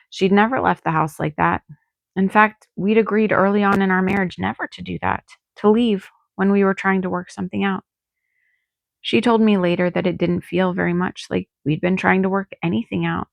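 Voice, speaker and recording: A woman reads fiction aloud in an expressive audiobook style. Her voice sits a little low with a gravelly fry at the edges, and the recording is dry and close. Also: dry recording. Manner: dramatic, acts out dialogue. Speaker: author reading own novel. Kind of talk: expressive audiobook narration in first person.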